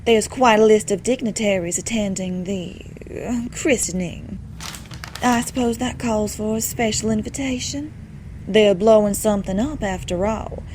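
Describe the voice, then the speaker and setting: A woman's voice: medium pitch, moderate pace, a little mellow, a little hiss or hum, natural voice. A woman voicing a genteel, whimsical cartoon speakeasy owner in a coy, theatrical manner. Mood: whimsical, gracious. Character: genteel, whimsical female cat speakeasy owner, languidly charming.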